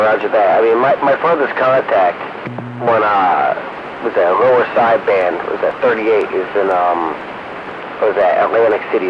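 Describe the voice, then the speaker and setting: Male radio operator, low register, loose hesitant chat, dull noisy two-way radio sound. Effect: two-way radio transmission.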